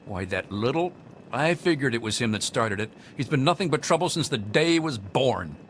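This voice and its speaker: Game role: man playing protective, folksy lawman, authoritative with animated pitch, faint noise behind. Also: normal speed, lightly acted. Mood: concerned, indignant. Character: protective, stern but kindly security officer. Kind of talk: video game acting.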